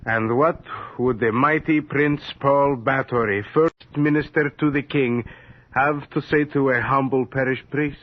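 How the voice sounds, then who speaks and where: An actor playing a humble but defiant, pious parish priest in a radio horror drama, speaking solemnly and theatrically. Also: male voice, medium pitch, steady tone, a little slow, natural voice. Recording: dull, noisy old radio recording.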